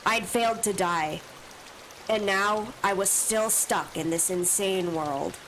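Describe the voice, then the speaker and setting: A woman's voice: mid-range voice, slow, a bit bright, slight background noise, rough recording. A woman streamer with allergy sniffles, reading a visual novel's first-person text aloud with asides of her own. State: stuffy from allergies.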